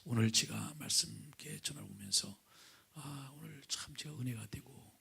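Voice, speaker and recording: A middle-aged male pastor preaching with a hoarse, raspy voice from vocal nodules, often sinking to a breathy near-whisper and asking the congregation questions. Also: mid-range voice, wildly swooping pitch, normal speed, pushed and bright. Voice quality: raspy, gravelly. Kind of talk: sermon with opening prayer. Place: church sanctuary, at the pulpit.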